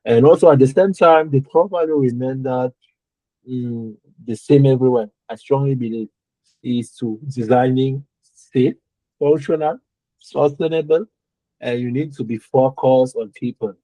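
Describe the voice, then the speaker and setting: A man's voice: mid-range voice, a little slow, dark tone, dry recording, rough recording. A young man from Cameroon, whose first language is French, speaking English with a French-speaking Cameroonian accent. He answers interview questions carefully, searching for words and repeating phrases. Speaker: architect guest.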